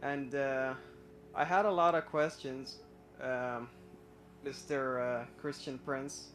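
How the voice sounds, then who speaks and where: A man's voice: medium pitch, steady tone, takes it slowly, a bit bright, soft background music. A young man from Iraq calling in to a live stream, telling his story hesitantly with many fillers and restarts. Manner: nervous, admits stage fright. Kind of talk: casual personal story.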